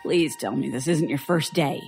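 A woman's voice: deep voice, normal speed, a little mellow, soft background music. A woman playing a bitter, impatient survivor in her thirties in an audio drama, curt and sarcastic in a natural style. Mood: scornful.

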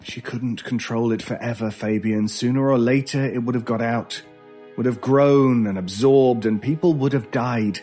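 A man acting in an audio horror drama as a stern stranger who hunts monsters, speaking in a measured, solemn, menacing way. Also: medium pitch, fairly even intonation, mellow, lightly acted. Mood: cold, resolute. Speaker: voice actor. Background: music.

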